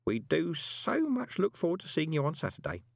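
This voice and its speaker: Male narrator as gentle elderly woman, soft and sweet, recorded dry. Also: fairly high pitch, wildly swooping pitch, moderate pace, vocal fry, dry recording, voice pushed up, heavily acted. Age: older.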